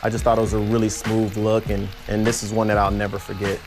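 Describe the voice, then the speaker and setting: A man's voice: lowish voice, monotone, fast talker. A man, a former ballplayer, casually reminiscing. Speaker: former baseball player. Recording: clear music bed under voice. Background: music.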